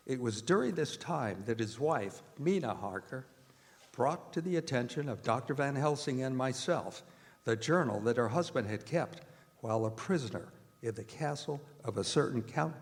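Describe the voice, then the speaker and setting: A haunted, grave doctor played by a man recounts a gothic horror tale in measured radio-drama narration. His medium-pitched voice rings out in a hall with long reverb. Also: close to an everyday voice. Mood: formal, grave. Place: performing arts center stage.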